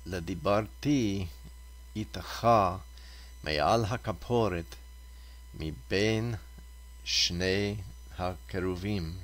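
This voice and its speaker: Deep-voiced man reading scripture aloud. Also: fairly bright tone. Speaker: Torah reader.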